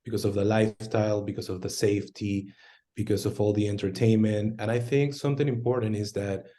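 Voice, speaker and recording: A man, a real estate co-worker, talking in a measured, friendly way as a webinar guest. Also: fairly low pitch, steady tone, mellow. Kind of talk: casual webinar chat.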